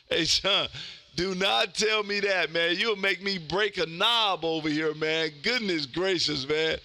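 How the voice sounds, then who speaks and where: A man in his fifties speaks in a high-set, piercingly bright voice. He is loud, exuberant and folksy, chatting casually as an amateur radio host working his contacts. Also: slow. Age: 50s. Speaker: amateur radio operator hosting a live stream. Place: indoor home radio room.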